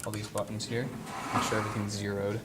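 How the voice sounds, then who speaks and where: A man with a fairly low voice talks through a hands-on equipment demonstration. He is informal and halting, often thinking aloud as he works. The recording is very noisy. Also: completely flat delivery. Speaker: instructor demonstrating audio equipment. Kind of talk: casual hands-on tutorial. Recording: clearly noisy room recording with handling sounds.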